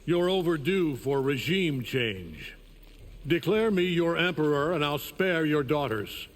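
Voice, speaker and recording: An actor playing an arrogant, menacing alien conqueror in a fighting game, commanding and contemptuous. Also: male voice, a little high, expressive, unhurried, long reverb tail. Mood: mocking. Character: arrogant, menacing alien superhuman conqueror, male, middle-aged. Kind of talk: fighting game character banter.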